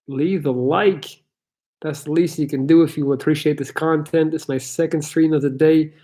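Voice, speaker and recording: A man's voice: on the high side, darkish tone. Blunt Belgian man with an American-sounding accent. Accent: American-sounding English. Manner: blunt and candid, loose with swearing. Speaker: livestream host answering chat questions. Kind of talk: casual live Q&A chat. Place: his parents' house.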